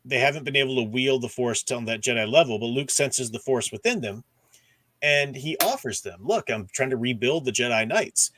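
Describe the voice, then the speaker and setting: A man's voice: mid-range voice, lively intonation, moderate pace, fairly bright tone. A man hosting a discussion stream, casually recapping and explaining in an enthusiastic, conversational way. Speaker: host of a book discussion stream.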